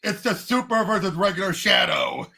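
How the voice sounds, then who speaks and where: A man co-hosting a casual movie discussion, excitable and animated as he retells and acts out quotes. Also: sits high, moderate pace, creaky, breathy edge. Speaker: movie podcast co-host.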